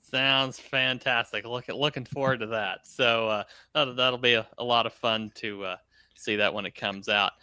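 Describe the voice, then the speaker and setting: A man's voice: medium pitch, fairly even intonation, moderate pace, sharp tone, smooth, no room sound. A man hosting a podcast in a casual, conversational style. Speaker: podcast host.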